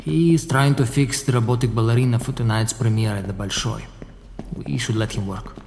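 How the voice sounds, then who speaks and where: A man's voice: medium pitch, fairly even intonation, brisk, creaky, a little mellow, natural voice. A man acts out a secretive male prison guide in an audio drama. He has a mid-range voice and a wry, matter-of-fact, curt delivery, ringing in a long hall reverb. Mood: wry, guarded.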